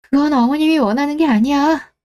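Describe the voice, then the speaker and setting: Radio drama wife, played by a woman: cold, sarcastic, unyielding, fairly high voice, standing her ground. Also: fairly quick, darkish tone, natural voice. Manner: firm, sarcastic. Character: cold, resolute, fed-up wife standing up for herself. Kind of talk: melodramatic radio drama dialogue.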